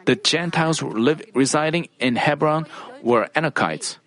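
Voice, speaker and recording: Preacher, sermon, mid pitch, deliberate measured delivery, frequent self-corrections. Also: fairly bright tone.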